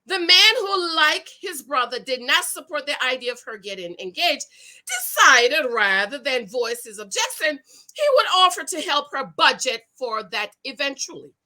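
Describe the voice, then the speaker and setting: A woman giving casual commentary as she reads and reacts to a news story, with a loud, excitable voice that carries. She talks in an animated, preachy way, with emphatic repetition and a Pentecostal preacher's energy. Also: high voice, moderate pace, low-quality recording. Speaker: YouTube commentator on money and marriage.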